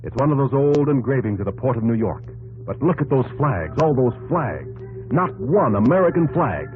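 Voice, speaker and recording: A man's voice: mid-range voice, sing-song, moderate pace, lightly acted. A man acting as a solemn, fatherly storyteller, narrating a history drama earnestly in 1950s radio style. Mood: solemn, reverent. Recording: tinny 1950s radio broadcast. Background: loud dramatic music.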